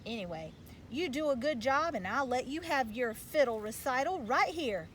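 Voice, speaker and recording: A woman plays a grumbling, bossy father from a storybook, folksy and blustering. The pitch sits on the high side, and there is clear noise behind the words. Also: lively intonation, moderate pace. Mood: blustering, persuasive. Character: gruff grumbling father, manager of a losing baseball team. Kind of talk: storybook read-aloud.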